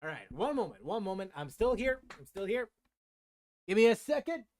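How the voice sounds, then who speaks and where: A man's voice: sits high, big pitch swings, moderate pace, a little mellow. A man chatting casually on a gaming livestream in a hesitant, apologetic way, often repeating his phrases. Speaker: game livestreamer. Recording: game music behind the voice. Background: video game music.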